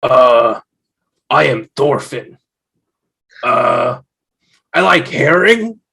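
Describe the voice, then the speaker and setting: A man playing a gruff, mournful Viking ghost in an amateur table read, speaking in blunt declarations. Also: mid-range voice, lively intonation, extremely slow, bright, forceful tone, full character voice. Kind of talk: amateur table read over video call. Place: remote video-call table read.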